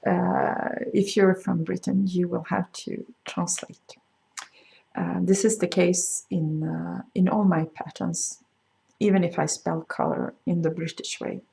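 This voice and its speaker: A middle-aged woman with a Swedish accent, a crochet designer talking casually to camera and explaining things with frequent pauses and fillers. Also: lowish voice, level tone, gravelly, mellow, rough recording. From Sweden. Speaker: crochet designer hosting a video podcast. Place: home in France.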